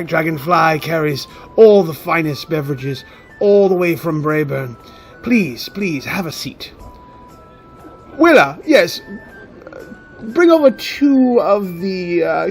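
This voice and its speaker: A man voicing an effusive, courteous, money-loving tavern keeper in a fantasy radio drama, with florid, theatrical speech. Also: fairly high pitch. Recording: music under the voice.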